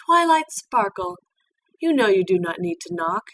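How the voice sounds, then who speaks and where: Lady reading as a calm regal pony queen, motherly mentor type, storybook, slightly distant mic. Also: medium pitch, moderate pace. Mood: calm, fond. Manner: calm, formal. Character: ageless female pony ruler, gentle, motherly, regal mentor. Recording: distant mic with background noise.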